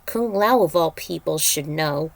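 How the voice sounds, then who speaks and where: Woman as a forceful, grim demon-fighting warrior. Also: sits low, lively intonation, unhurried, gravelly, no room sound, voice pushed down, full character voice. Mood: grim warning.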